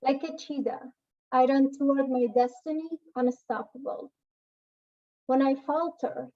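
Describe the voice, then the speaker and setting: A woman's voice: sits high, narrow, tinny audio. A woman in her thirties who grew up in Afghanistan, telling her story and reciting a poem earnestly on a video-call panel. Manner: earnest, with frequent fillers and restarts.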